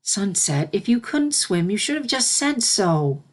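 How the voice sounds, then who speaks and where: A woman's voice: medium pitch, big pitch swings, unhurried. A woman voicing a caring, regal winged unicorn princess, a motherly mentor in a storybook reading. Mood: apologetic concern.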